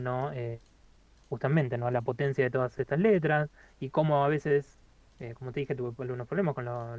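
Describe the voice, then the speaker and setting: A man, co-hosting a casual album review, talking haltingly with many fillers and restarts. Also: medium pitch, darkish tone, muffled recording. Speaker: podcast co-host reviewing an album.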